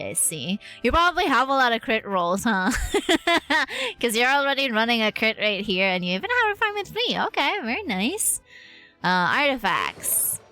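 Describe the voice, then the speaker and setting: A woman hosts a casual gaming stream with music playing behind her. Her pitch moves around a lot as she comments on the game. She is playful and slangy, slipping between talking to herself and to her viewers. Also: bright, forceful tone. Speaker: game livestreamer and host.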